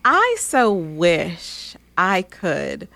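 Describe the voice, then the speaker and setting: A woman co-hosting a casual faith-based chat, animated and candid, laughing easily. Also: lowish voice, drawn-out words, creaky. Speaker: podcast co-host.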